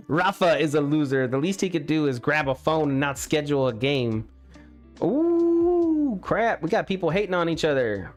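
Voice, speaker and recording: A man's voice: on the high side, very animated, unhurried, soft background music. Chatty young man streaming his game work. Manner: chatty, exclaims at mistakes, restarts often. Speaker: game developer streaming live. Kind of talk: casual live-stream chat while working. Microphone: studio mic with pop filter.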